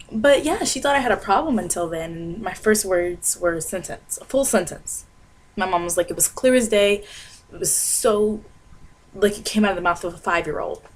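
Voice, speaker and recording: A young woman from Maryland, telling a dramatic, self-mocking comic rant to her webcam in a small bedroom. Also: medium pitch. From Maryland, USA. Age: young adult. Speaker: vlogger. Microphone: webcam at desk.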